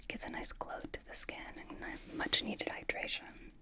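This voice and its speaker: A woman's voice: moderate pace, pushed and bright. A woman playing a playful, teasing young female K-pop idol in an ASMR roleplay, whispering casual, reassuring skincare pampering. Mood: soothing, instructive. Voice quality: breathy whisper. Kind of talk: ASMR roleplay, soft personal-attention whispering.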